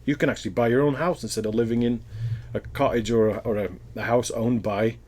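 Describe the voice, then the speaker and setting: A man's voice: mid-range voice, steady tone, fairly quick, a little mellow. A Welsh man answering interview questions in a casual, thoughtful way, often rambling and restarting his sentences. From Wales.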